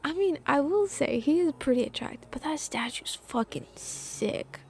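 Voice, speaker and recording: A woman's voice: high-pitched, animated, moderate pace, gravelly, a little mellow. A woman live-streaming a game, chatting and reacting casually, candid and musing, often dropping to a murmur. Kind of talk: casual chat and reactions while playing.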